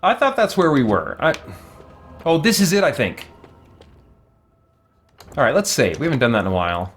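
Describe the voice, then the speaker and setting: A man's voice: fairly high pitch, very animated, normal speed. A man giving casual gameplay commentary as a streamer, rambling and enthusiastic as he thinks aloud. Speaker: game streamer.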